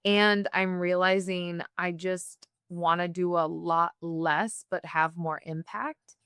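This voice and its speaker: A woman from the United States hosting an interview, talking in a candid, reflective way with quick bursts. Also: fairly low pitch, steady tone, fairly bright tone, clear, resonant tone, no echo, studio quality. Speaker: podcast host. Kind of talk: conversational interview.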